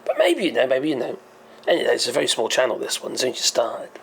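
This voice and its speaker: A hoarse man hosting his own channel, explaining a topic in a rambling, hesitant way and often correcting himself. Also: mid-range voice, wildly swooping pitch, fast, a little hiss or hum. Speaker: channel host. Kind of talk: casual explainer monologue.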